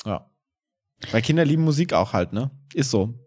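A man's voice: mid-range voice, animated, normal speed, darkish tone. A man co-hosts a casual podcast. He speaks informally, in everyday conversational language. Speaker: podcast co-host and improv performer.

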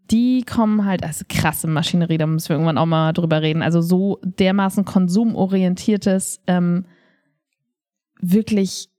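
Animated woman telling stories casually. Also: medium pitch. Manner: animated storyteller, acts out quoted dialogue. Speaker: co-host of a theatre podcast. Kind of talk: casual conversational storytelling.

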